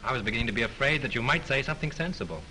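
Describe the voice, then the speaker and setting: A dry-witted mystery novelist in an early-1930s film. His voice is bright and crisp, and he teases the police with easy confidence over faint hiss. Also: medium pitch, lively intonation, rapid-fire, low-quality recording. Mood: mocking. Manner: dry, mocking wit. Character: wisecracking, cool male mystery novelist.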